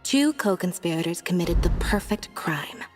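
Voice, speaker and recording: A woman's voice: on the low side, expressive, normal speed, close to an everyday voice. A woman playing a dramatic young storyteller. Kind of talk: video game voice acting. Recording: game audio with reverb and music.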